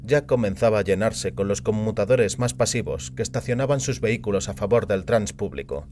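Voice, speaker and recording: A man's voice: on the low side, a little fast, a little mellow, slight background noise. A man reading a short story aloud as a scripted audio fiction narrator.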